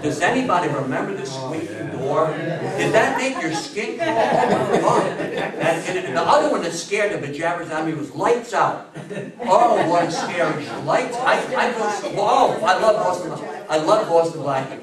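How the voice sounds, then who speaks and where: Older man in his 60s, lecturer, raised pitch, enthusiastic, exclamatory, recorded from a distance, audience noise. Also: animated, unhurried, a loud crowd. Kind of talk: animated informal talk to an audience. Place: indoor meeting room before a seated audience. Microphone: distant camera mic. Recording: echoey room, distant mic, audience noise.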